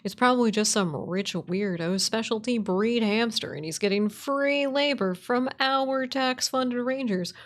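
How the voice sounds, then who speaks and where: A woman's voice: a little high, unhurried, hardly any pauses, natural voice. A woman voicing a scornful, conspiracy-minded caller in a radio play, emphatic and incredulous, leaning on words.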